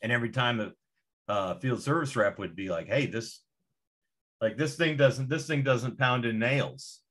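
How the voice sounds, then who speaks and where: A man with a husky, breathy edge to his voice, talking casually on a podcast. He rants with emphatic, profane energy and hammers his points home. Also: medium pitch, normal speed, a bit bright. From Indonesia, to American parents. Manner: emphatic, profane, fired-up ranting.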